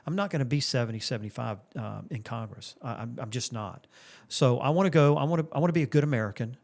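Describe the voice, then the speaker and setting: A man's voice: mid-range voice, expressive, fast talker, creaky, a little mellow. A man of fifty-nine from Florida, running for Congress, answers interview questions earnestly and often repeats himself and restarts his sentences. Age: 50s. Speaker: congressional candidate.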